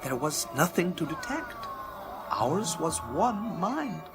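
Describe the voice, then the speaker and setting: Stilted, courteous alien detective. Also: male voice, high-pitched, very animated, slow pace, full character voice. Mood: solemn, courteous. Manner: formal, stilted, polite broken English. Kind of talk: radio play acting. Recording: music under the voice.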